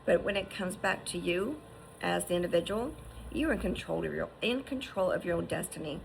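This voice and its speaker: Gravelly fifty-something woman, low voice, earnest and encouraging, slight hiss behind. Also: animated, a little fast, rough recording. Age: 50s. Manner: earnest, encouraging, repeats herself with restarts and "right?". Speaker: survivor sharing her story. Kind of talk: heartfelt personal testimony.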